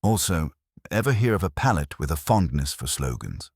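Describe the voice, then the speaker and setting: A man voicing a wry, quick-witted detective's assistant in a scripted story, deadpan. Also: extremely low pitch, slightly rough, dry recording, studio quality, exaggerated voice. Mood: pointed.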